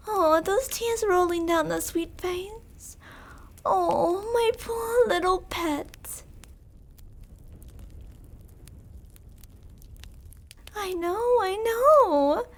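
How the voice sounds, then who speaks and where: An ancient female vampire in an intimate ASMR roleplay. Her voice sits extremely high and she draws her words out slowly. She is seductive, possessive and cruel, giving orders in a coaxing, controlling way. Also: expressive, gravelly, exaggerated voice. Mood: cooing, condescending. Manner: slow, commanding, coaxing, with whispered endings.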